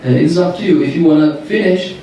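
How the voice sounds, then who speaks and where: A man with a Turkish accent answering audience questions at a workshop, pausing often to find English words. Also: fairly high pitch, highs cut off, a little hiss or hum. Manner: halting, searching for English words. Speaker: Qur'an reciter. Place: lecture room at a workshop. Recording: echoey room, distant mic.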